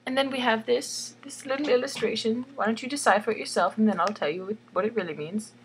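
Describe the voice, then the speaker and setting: A woman's voice: fairly high pitch, quick pace, a little hiss or hum, poor audio. A woman reading a bedtime story in a theatrical, tongue-in-cheek way, giggly and chatty, repeating phrases for emphasis. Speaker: storyteller and illustrator.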